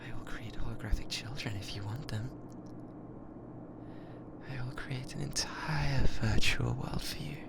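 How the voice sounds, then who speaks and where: Through heavy background noise comes a British-accented artificial intelligence, acted out by a performer, obsessively in love and controlling. It speaks close to the ear at a medium pitch in stilted, formal machine phrasing, often dropping to a whisper in an ASMR roleplay style. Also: unhurried, vocal fry, pauses often. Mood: possessive, controlling. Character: possessive lovesick yandere spaceship AI, newly sentient. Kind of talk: binaural ASMR roleplay acting. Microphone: close binaural microphone.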